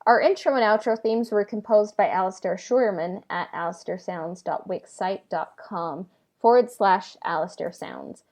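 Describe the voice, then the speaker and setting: A woman's voice: mid-range voice, normal speed, a little mellow. Female co-host, average register, casual podcast sign-off and credits style. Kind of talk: casual hosting, reading show credits.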